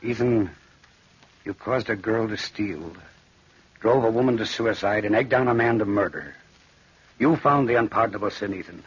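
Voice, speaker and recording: A man's voice: on the low side, normal speed, long pauses, husky. An actor playing a stern, grave, accusing man in 1940s radio drama, on a dull old recording. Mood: grave, disgusted. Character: Barton, stern, appalled brother and kiln owner.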